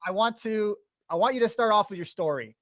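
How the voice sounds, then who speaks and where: A young man hosts an investing podcast with eager, casual enthusiasm. His voice is pitched quite high for a man. The recording is dry, with no room sound. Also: a little fast, a bit bright, no echo. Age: young adult. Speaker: podcast host. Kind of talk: upbeat podcast intro and interview.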